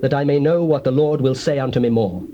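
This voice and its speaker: An actor playing a solemn biblical prophet, quick to anger, in theatrical, declamatory archaic English. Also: male voice, mid-range voice, warm tone, lightly acted. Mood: solemn, resolute. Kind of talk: dramatized scripture acting in archaic English. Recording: noisy, dull old cassette tape.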